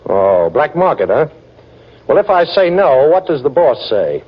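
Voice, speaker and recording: An actor playing a cool, quick-witted American detective hero, breezy and sardonic, in a 1940s radio drama. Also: male voice, a little high, sing-song, breathy edge, heavily acted. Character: wry, cool, quick-witted male amateur sleuth hero. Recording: dull lo-fi 1940s radio recording.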